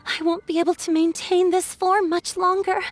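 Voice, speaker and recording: A gentle female water spirit from a video game, in a high voice. She sounds anxious yet earnest, choosing formal, careful words. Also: level tone, normal speed. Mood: anxious, resolved.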